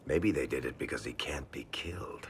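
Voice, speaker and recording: A man's voice: a bass voice, normal speed, a little hiss or hum. An actor playing a wry, world-weary man in a fantasy game, telling things in a casual, frank and emphatic way. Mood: uneasy, wry. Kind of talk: video game voice acting.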